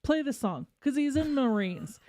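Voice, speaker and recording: A woman's voice: high-pitched, sing-song, normal speed, a crowd in the background. Emphatic woman bantering on a podcast. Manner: emphatic, playfully argumentative. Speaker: podcast co-host. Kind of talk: casual banter.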